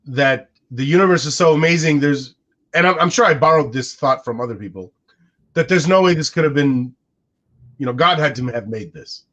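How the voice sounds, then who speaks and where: A man's voice: fairly high pitch, expressive, normal speed, fairly bright tone. A man in his forties whose first language is Arabic, musing aloud in a casual podcast conversation with frequent restarts. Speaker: co-host. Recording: dull lo-fi sound.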